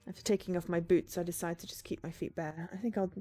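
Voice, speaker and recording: A woman with a slightly low-pitched voice reads visual novel narration aloud as a game streamer. Soft music plays behind her voice. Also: steady tone, quick pace.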